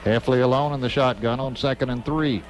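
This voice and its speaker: A man's voice: mid-range voice, fairly even intonation, rough recording. Man calling football play-by-play. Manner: rapid, clipped play calls that speed up on big plays. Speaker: play-by-play announcer. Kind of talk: live football play-by-play commentary. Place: outdoor stadium press box.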